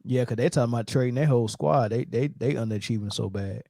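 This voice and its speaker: Teasing man hosting casual sports talk, mellow voice. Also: medium pitch, fast, gravelly, no room sound, clean studio sound. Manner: teasing, trash-talking. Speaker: host of a live sports fan show.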